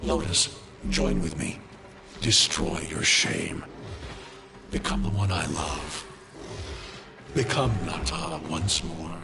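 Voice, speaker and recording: A man playing a menacing ancient video game villain who gives slow, imperious commands. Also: full character voice. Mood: commanding, menacing. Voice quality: deep, gravelly. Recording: music behind the voice.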